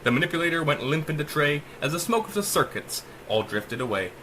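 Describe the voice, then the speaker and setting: Casting: male author-reader, theatrical and emphatic rhyming narration, sharp bright voice, very lively intonation. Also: a little high, brisk, slight background noise. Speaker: author reading own short story. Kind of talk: dramatic rhyming audiobook narration.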